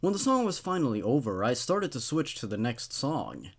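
Man in his twenties, horror story host, very lively intonation, medium pitch, dramatic reading, wry casual asides, close studio mic in a small room. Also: moderate pace. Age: 20s. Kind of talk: expressive story narration with casual commentary. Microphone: studio condenser microphone on a stand.

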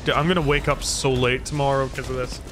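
A man's voice: medium pitch, flat delivery, quick pace. A Scottish man streaming a video game, chatting casually and self-deprecatingly to his viewers as he plays. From Scotland. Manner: rambling, self-deprecating, talks to chat while playing. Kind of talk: casual gameplay livestream chat. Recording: noticeable background noise.

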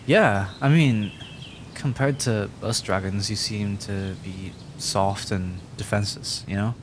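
A man's voice: fairly low pitch, a little slow, a little hiss or hum, natural voice. A man voicing a timid, adorkable young dragon in a roleplay audio drama, stammering, hesitant and rambling. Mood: shy, curious.

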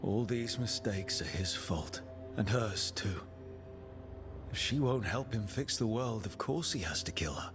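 A man's voice: on the low side, animated, a little slow, over music. A man playing an earnest, plain-spoken adventurer in a fantasy video game. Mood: grave. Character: earnest, plain-spoken adventurer hero, fair-minded male.

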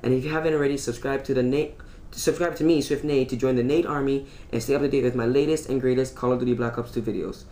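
A teenage boy giving casual, rambling commentary over his own gameplay recording at home, full of fillers and quick to complain. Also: mid-range voice, fairly even intonation, mellow. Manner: rambling, filler-heavy, easily irritated. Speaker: gaming YouTuber. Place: home room.

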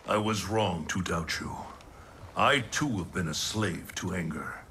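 An actor playing a solemn, commanding older thunder god in a video game, speaking with grave, formal diction. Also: male voice, deep voice, measured pace, noisy background. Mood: remorseful, solemn. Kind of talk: dramatic video game cutscene acting.

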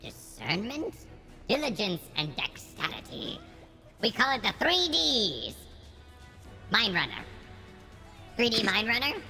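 A man voicing a gruff old male dwarf mining chief with a folksy brogue, saying aye and lad. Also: very high-pitched, very animated, takes it slowly, pauses often, sharp tone, long reverb tail, faint music behind, voice pushed up, full character voice. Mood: proud.

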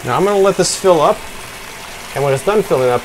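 A man's voice: fairly high pitch, lively intonation, quick pace. A middle-aged American man in his forties giving a casual product review to camera. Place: covered outdoor patio and indoor laundry room. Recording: noisy background ambience.

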